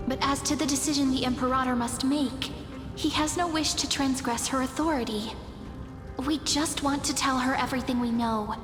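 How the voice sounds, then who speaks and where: A woman's voice: sits high, steady tone, moderate pace, close to an everyday voice. An actress voicing a confident, sincere girlish companion in a video game, earnest and breezy in her persuasion. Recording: echoey long reverb, music behind. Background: music.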